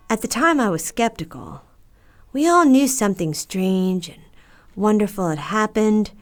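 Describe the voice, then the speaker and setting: A woman playing a mother and nun in an audio drama, with a deliberate, dramatic delivery. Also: mid-range voice, lightly acted. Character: stern, busy mother, later a reflective nun.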